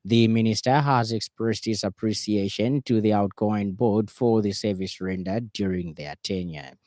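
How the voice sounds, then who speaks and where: Zambian guy reading formal radio news, lowish soft-edged voice, really dry sound. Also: moderate pace, no room sound. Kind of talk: formal scripted news bulletin.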